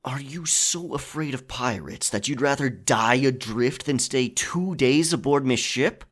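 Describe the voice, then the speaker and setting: A man's voice: medium pitch, animated, a little slow, fairly bright tone. A man playing a roguish pirate captain, courteous but firm, with gallant old-fashioned phrasing in an intimate ASMR roleplay. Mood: probing, softer. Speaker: audio roleplay performer. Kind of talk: ASMR audio roleplay, intimate dramatic acting.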